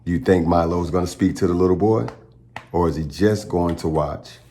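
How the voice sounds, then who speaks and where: A man reading a children's story aloud as a storytime host, with dramatic, pausing phrasing aimed at young listeners. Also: low-pitched, dark tone, a little hiss or hum. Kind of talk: expressive picture-book read-aloud.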